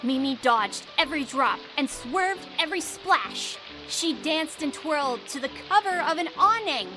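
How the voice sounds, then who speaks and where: A woman reading scripted storybook narration for an audio drama in an expressive, dramatic storytelling manner. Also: sits high, measured pace. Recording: music behind the voice.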